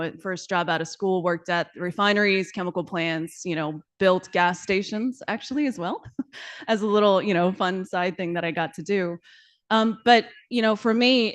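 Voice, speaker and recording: A woman from China, likely in her thirties, answering interview questions about her startup in an earnest way with lots of fillers and light laughs. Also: lowish voice. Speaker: startup founder.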